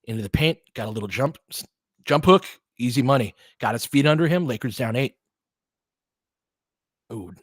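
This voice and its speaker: Male play-by-play host for basketball. Conversational, thinks out loud, breaks down the action, recorded dry. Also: mid-range voice, animated, moderate pace, long pauses, no echo. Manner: conversational, thinking aloud, analytical. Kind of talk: live basketball commentary with casual asides.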